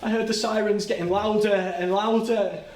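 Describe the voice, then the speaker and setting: An actor playing an anguished, guilt-ridden working-class man in a halting, plain-spoken theatrical monologue. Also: sits high, steady tone, a little mellow, natural voice. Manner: halting, plain-spoken, repeats and stumbles over words. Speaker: stage actor. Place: indoor soundstage. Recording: roomy, noisy soundstage livestream.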